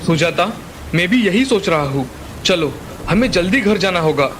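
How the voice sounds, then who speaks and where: A man's voice: high voice, expressive, normal speed, background noise. A man playing a worried, caring father of a poor family in a dramatized moral story.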